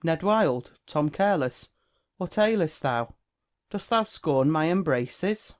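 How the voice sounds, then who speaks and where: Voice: mid-range voice, lively intonation, slow. A performer playing a swaggering young rake, dull lo-fi sound. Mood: hearty. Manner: archaic, swaggering, flippant speech. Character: brash, witty young male rake, swearing casually. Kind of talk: period comedy audio play acting. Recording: dull, lo-fi audio.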